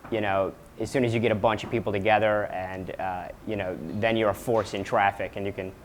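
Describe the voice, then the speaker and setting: A man's voice: on the low side, steady tone, a little fast, a little hiss or hum. A man in his twenties talking casually about himself in a documentary-style interview as a club member. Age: 20s.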